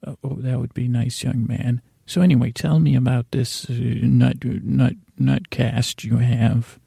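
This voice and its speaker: Comedy podcast role: elderly female English teacher, played by a man, prim, interrupting, gravelly, close and dry. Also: medium pitch, level tone, unhurried, mellow, dry recording, full character voice. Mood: scolding. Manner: interrupting, correcting, addresses host as "young man".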